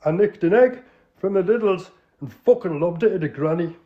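A man's voice: high-pitched, lively intonation, moderate pace, voice pushed up. A man with a Geordie accent voicing a crude male petty crook who stammers a little, in a dull lo-fi recording. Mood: blunt.

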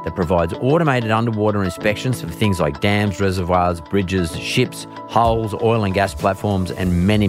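A middle-aged man hosting an interview podcast, speaking in a measured, deliberate way with restarts and fillers. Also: fairly low pitch. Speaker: podcast host. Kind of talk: interview chat with scripted intro.

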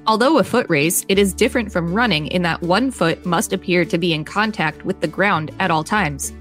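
A woman's voice: fairly low pitch, normal speed, a bit bright, soft background music. A woman co-hosting a podcast, reading an article aloud with casual commentary. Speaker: podcast co-host.

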